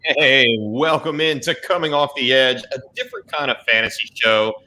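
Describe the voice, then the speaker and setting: A man's voice: medium pitch, animated, moderate pace. A man hosting a casual sports podcast, upbeat and jokey. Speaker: podcast host.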